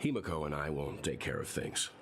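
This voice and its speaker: Low, gentle middle-aged game mentor, composed and deliberate, clear ambient noise behind. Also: male voice, expressive. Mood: calm, reassuring. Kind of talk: English video game voice acting.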